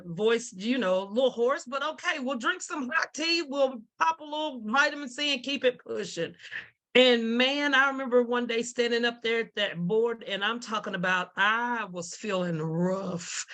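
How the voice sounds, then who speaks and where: A deep-voiced woman tells a story on a video call with lively energy. She jumps into voices of the people in it, animated and warm in her telling, over a webcam mic. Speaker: former kindergarten teacher and speaker.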